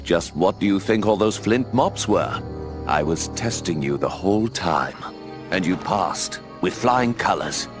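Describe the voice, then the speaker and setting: An actor voicing an older male owl mentor who narrates gravely and urges young owls on missions, with game music behind. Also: low voice, animated. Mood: persuasive. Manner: measured, grave storytelling.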